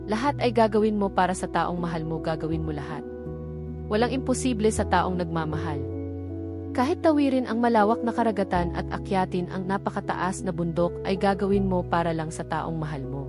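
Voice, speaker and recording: A woman's voice: fairly low pitch, fairly quick. Filipino woman narrating, music behind. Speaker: audiobook narrator. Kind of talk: scripted story narration of a romance novel, with dialogue read out.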